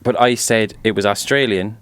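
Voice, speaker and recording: A man's voice: fairly low pitch, moderate pace, a bit bright, clear, resonant tone, no room sound. A man co-hosting a podcast, giving blunt opinions in casual chat and often trailing off into mumbles. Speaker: podcast co-host.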